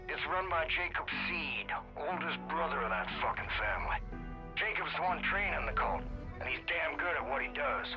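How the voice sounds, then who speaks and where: An actor playing a gruff older American survivalist guide, giving a plain-spoken, folksy mission briefing over the radio in a video game. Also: male voice, on the high side, animated, moderate pace, muffled recording, over music. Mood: matter-of-fact, grim.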